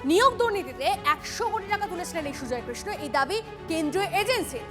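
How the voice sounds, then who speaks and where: A woman's voice: extremely high pitch, expressive, fast talker. A woman with a West Bengal Bengali accent anchoring breaking news, urgent and insistent as she puts questions to reporters. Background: news music bed.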